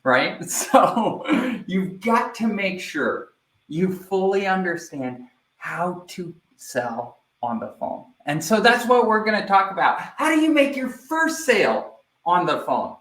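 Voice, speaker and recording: A man giving a live online sales training, energetic and speaking directly to viewers, often checking in with "right?". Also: high-pitched, slow pace, reverberant.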